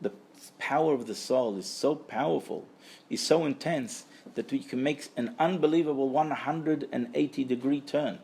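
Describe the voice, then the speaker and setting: A man's voice: mid-range voice, moderate pace, creaky, darkish tone. A man giving a Torah lecture as a religious teacher, speaking emphatically and repeating phrases for stress. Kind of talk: Torah lecture to a live group.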